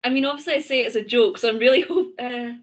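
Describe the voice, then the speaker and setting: A thirty-year-old woman with a mild Scottish accent, hosting a casual chat, talkative and quick to correct herself. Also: a little high. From Scottish-English border. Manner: chatty, laughs often, self-correcting. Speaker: host.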